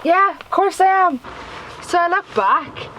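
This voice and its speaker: High-voiced, sardonic Northern Irish schoolgirl, acted by young woman. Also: animated, measured pace, a bit bright, slight background noise, exaggerated voice, low-quality recording. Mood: giggly, anxious. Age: young adult. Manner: conversational storytelling, sweary, mimicking others. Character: sardonic working-class Northern Irish schoolgirl recalling teenage humiliation. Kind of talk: theatrical monologue.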